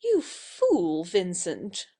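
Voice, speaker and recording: An actress playing an imperious but warm-hearted young lady of the house, bossy and emphatic, in a satirical audio play. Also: on the low side, wildly swooping pitch, extremely slow, slightly rough, full character voice. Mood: exasperated.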